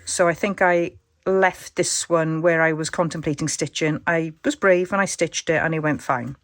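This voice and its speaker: A woman with a croaky voice, thinking aloud as she demonstrates a casual craft tutorial. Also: deep voice, steady tone, moderate pace, no echo, clean studio sound. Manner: chatty, thinks aloud while working. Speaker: crafter demonstrating junk journal covers.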